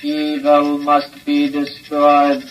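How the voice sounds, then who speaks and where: An actor playing a childlike robot with a grinding mechanical voice and simple phrasing in an old radio drama. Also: male voice, a little high, monotone, very slow, slight background noise. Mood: obedient. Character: childlike robot with a six-year-old mind, obedient, coldly menacing. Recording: dull old radio recording.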